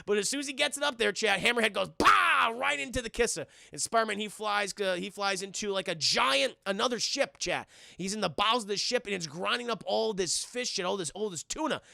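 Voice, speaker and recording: Male review streamer, high bright voice, excitable and sweary, acting out scenes for chat. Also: fairly quick, gravelly, dry recording, clean studio sound. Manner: profane, acts out characters' dialogue excitedly. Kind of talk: animated scene-by-scene recap for live chat.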